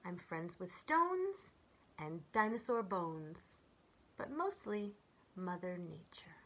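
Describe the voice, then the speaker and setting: A woman in her forties reading children's poems aloud and chatting between them in a gentle, expressive way, as a storyteller speaking to young children. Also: mid-range voice, a little hiss or hum. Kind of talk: read-aloud of children's poems with casual commentary. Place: small furnished room at home, indoors.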